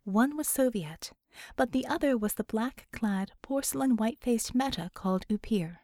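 A woman narrates a scripted story for an audiobook. Her voice sits a little high, and the recording is dry and close. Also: animated, moderate pace, dark tone, no room sound.

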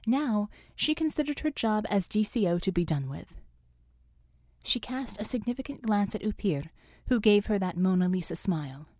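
Female audiobook narrator for action fiction: warm, relaxed timbre, scripted storytelling, dry close recording. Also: medium pitch, moderate pace, dry recording.

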